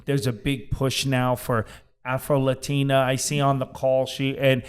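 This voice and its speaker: A man's voice: medium pitch, completely flat delivery, a little slow, smooth. Upbeat New York podcast host, man. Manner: upbeat, chatty, laughs easily. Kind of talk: casual interview chat.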